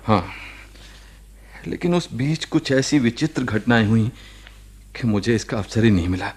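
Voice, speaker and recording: A man acting an ailing married former boss in a radio play, defensive and earnest, speaking haltingly to justify himself. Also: medium pitch, expressive, darkish tone, slightly rough. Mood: earnest, explaining. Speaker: radio drama actor.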